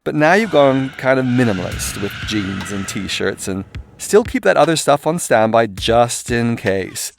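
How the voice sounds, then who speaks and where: A man's voice: on the low side, creaky. A man, sounding middle-aged, reads a scripted first-person audiobook in an irreverent, sardonic, comically exaggerated way. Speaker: author reading his own audiobook. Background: music and soundscape.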